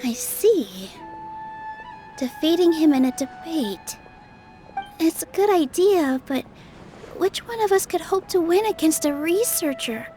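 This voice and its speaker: An actress playing a gentle, self-doubting young woman in a game dub, sincere and hesitant. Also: very high voice, expressive, unhurried, long pauses, soft background music. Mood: thoughtful, worried. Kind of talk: English game dub acting.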